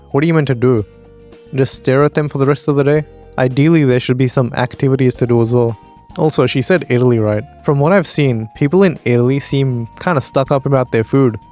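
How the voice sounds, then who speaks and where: Young male reaction host, blunt and opinionated, medium pitch, faint music bed. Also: darkish tone. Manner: blunt, opinionated, swears freely. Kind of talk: casual reaction commentary.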